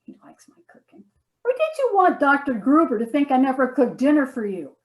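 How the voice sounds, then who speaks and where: A woman's voice: sits high, very animated, brisk. A woman playing a nagging, self-righteous wife in a comedy sketch, dramatic and exasperated, often repeating herself. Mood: indignant. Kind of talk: readers theater comedy sketch.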